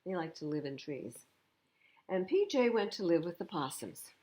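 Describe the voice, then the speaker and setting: A woman's voice: on the low side, sing-song, moderate pace, dark tone. A woman reading a picture book aloud to young children, expressively, with explanatory asides about the pictures. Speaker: children's storytime reader.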